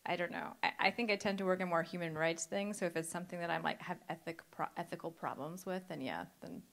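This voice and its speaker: A woman with a lowish, gravelly voice answers questions on a filmmakers' panel. She rambles and hedges, often pausing to say she is not sure. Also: fairly bright tone. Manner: unsure, rambling, many "I don't know"s. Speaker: documentary cinematographer, panelist. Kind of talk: panel discussion.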